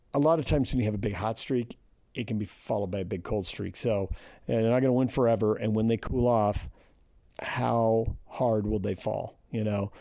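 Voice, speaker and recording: A man's voice: on the low side, gravelly, warm tone, no room sound. An American man hosting a sports radio show, talking casually and thinking aloud in short phrases.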